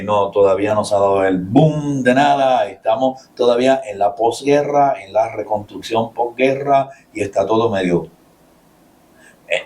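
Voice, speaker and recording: Low-voiced Puerto Rican friar, off-mic. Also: male voice, darkish tone, recorded from a distance. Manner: measured, explains with many pauses and restarts. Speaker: Capuchin friar and guest. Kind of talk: explanatory interview answers.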